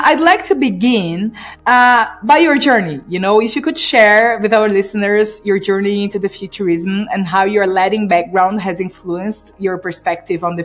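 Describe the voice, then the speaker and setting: Woman host with eager delivery. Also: mid-range voice. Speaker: podcast host. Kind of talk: interview hosting. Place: home studio, indoors. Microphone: studio mic with pop filter and headphones.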